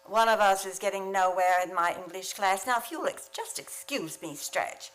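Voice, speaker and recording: A woman playing a wry, quick-witted high school English teacher in an old-time radio comedy. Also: expressive, a bit bright, lightly acted, poor audio. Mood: wry narration, brisk.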